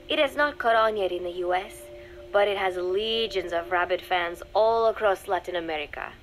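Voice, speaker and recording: A woman voicing a young undercover spy in a video game, dictating a private diary in a measured, confiding, dryly scornful way. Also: medium pitch, slight background noise, natural voice, rough recording. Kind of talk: scripted dramatic diary narration for a game.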